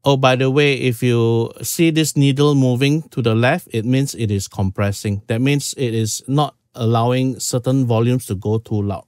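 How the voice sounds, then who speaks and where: A man's voice: mid-range voice, steady tone, moderate pace, hardly any pauses, a little mellow, dry recording. Man, fifties, from Singapore, casual tutorial host, dry close sound. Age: 50s. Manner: informal, step-by-step, frequent "okay"s. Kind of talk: casual screen-share tutorial. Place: small room at home.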